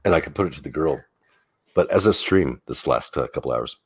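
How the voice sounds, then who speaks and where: A married man co-hosting a late-night stream, chatting casually in a deep bass voice with a joking, teasing manner. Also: expressive, fairly quick, husky. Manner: joking, teasing, laid-back. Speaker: stream co-host.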